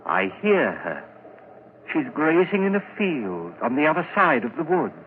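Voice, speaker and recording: Thin old-radio recording, earnest gentle man. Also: fairly high pitch, very animated, measured pace, narrow, tinny audio, slight background noise. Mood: gentle, wondering. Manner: earnest, hesitant, dramatic. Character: earnest, gentle, idealistic man with keen hearing. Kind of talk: old-time radio drama acting.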